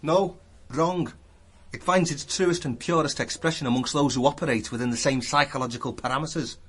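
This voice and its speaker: An actor playing a proud, evasive young artist in a radio drama, speaking in a cool, measured, slightly pompous way. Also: male voice, medium pitch, a bit bright, husky. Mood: proud, resolute.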